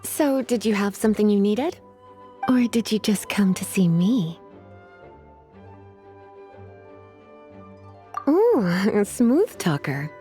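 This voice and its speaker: A woman playing a flirtatious, languid librarian with a quiet menace in a video game. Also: medium pitch, sing-song, measured pace, faint music behind. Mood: teasing, languid. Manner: teasing, languid, sighing.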